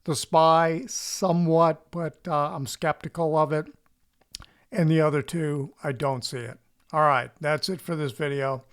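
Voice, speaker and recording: A man's voice: on the high side, fairly even intonation, a little slow, clean tone. A man giving casual, explanatory market commentary as a channel host, in a folksy, conversational way with frequent check-ins.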